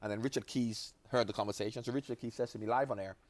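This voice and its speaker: A man's voice: mid-range voice, steady tone, quick pace, no echo. A man in his forties from Jamaica, a former footballer chatting as an interview guest, telling long stories with restarts and asides. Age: 40s. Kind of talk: relaxed interview chat.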